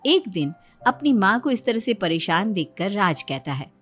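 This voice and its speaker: A woman's voice: mid-range voice, big pitch swings, normal speed, fairly bright tone, faint music behind. A woman telling a scripted moral story as its narrator.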